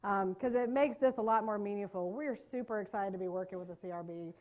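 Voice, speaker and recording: A woman's voice: mid-range voice, darkish tone. A middle-aged woman from North Carolina with a Southern accent, giving a chatty, joking training talk to a live audience in a meeting room. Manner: fast-talking, chatty, self-deprecating jokes. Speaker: trauma care trainer.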